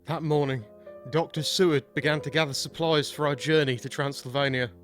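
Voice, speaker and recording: An actor playing an earnest young gentleman in a gothic audio drama, solemn and measured as he tells the tale. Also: fairly high pitch, steady tone, faint music behind, lightly acted. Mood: solemn narration. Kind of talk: audio drama narration and acting.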